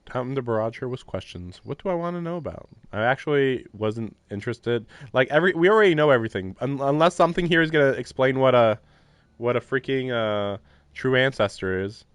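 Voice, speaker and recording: A man's voice: medium pitch, lively intonation, fairly quick, clear, resonant tone, dry recording. A man streaming a visual novel, reading lines and thinking aloud with wry, self-deprecating asides. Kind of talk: casual let's-play commentary and reading. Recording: live stream with faint game music.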